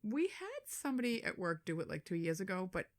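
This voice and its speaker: A woman's voice: medium pitch, very animated, brisk. A middle-aged woman co-hosting a podcast in casual, blunt chat, swearing freely and laughing often. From Boston area, USA. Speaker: podcast co-host.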